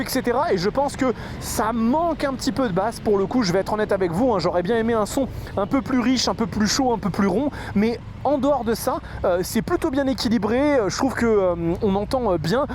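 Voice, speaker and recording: A high-voiced man rides along and reviews gear in a loose, rambling way, filling gaps with hesitations. He talks into a helmet microphone, with loud road and traffic noise heard around him. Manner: chatty, many filler hesitations. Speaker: motorcycle vlogger reviewing gear. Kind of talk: casual product review while riding. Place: outdoors on a motorcycle in traffic. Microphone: helmet-mounted microphone. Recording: noisy outdoor road recording.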